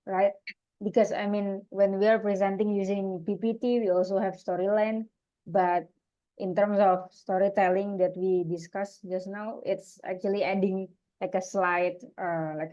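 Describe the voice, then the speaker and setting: A woman speaking as a student in a casual lesson conversation, tentative and agreeable, often giving short acknowledgements. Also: mellow. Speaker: coaching student. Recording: dull, low-fidelity audio.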